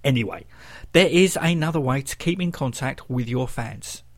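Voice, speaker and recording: A man's voice: medium pitch, normal speed. London man presenting a music radio show. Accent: London English. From London, England.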